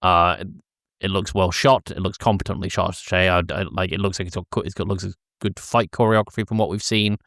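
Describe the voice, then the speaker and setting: Male podcast co-host, fairly low register, conversational film talk, close and dry. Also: steady tone, a little fast, a bit bright, dry recording. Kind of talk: casual film discussion chat.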